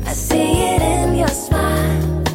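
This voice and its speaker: Female singer, high register, drawn-out soulful phrasing over a prominent music track. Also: steady tone. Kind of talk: singing a soulful song. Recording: produced song over loud music. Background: loud musical backing.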